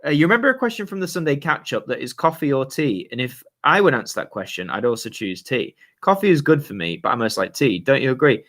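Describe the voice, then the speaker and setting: A young man, his voice a little hoarse. He hosts his own channel, chatting loosely through viewer questions with easy slang and frequent fillers. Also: medium pitch, sing-song, fast talker, hardly any pauses, fairly bright tone. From Yorkshire, England. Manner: chatty, laid-back, lots of fillers and slang. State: slightly hoarse. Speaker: YouTube channel host. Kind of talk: casual livestream chat, reading viewer questions.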